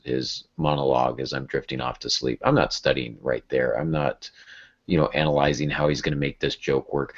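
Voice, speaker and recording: A man chatting informally as a podcast host, getting animated when he illustrates a point. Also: rumbling low. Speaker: podcast host and writing teacher. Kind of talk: casual podcast discussion. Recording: dull lo-fi podcast audio.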